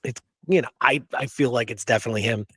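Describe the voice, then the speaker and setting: A man's voice: mid-range voice, fast talker, a bit bright, breathy edge, dry recording. Dry, close-sounding man hosting history talk. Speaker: history show host. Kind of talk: casual research discussion.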